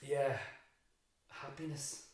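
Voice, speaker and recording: A man's voice: medium pitch, steady tone, slow pace, darkish tone, a little hiss or hum. An Irish man, a teacher, talking reflectively in a casual interview and building up emphatic lists. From Ireland. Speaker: teacher, podcast guest. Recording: very echoey room.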